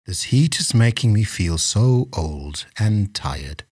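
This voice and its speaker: Man voicing a husky, slow old African chief. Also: fairly low pitch, animated, no room sound, studio quality, voice pushed down, full character voice. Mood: weary, complaining. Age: older. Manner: slow, weary, stern. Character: tired, aggrieved old African chief with magic. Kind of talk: storybook character voice.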